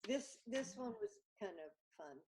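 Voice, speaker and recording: A woman guest chatting over a video call, telling stories with lively acted-out dialogue. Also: medium pitch, unhurried, slight background noise. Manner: animated storyteller, acts out dialogue. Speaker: guest artist. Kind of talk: casual video chat.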